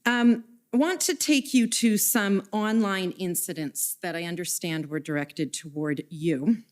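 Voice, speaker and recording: Woman, lawyer at a hearing, lowish voice, measured, procedural questioning, reads document numbers. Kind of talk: formal hearing examination. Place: hearing room.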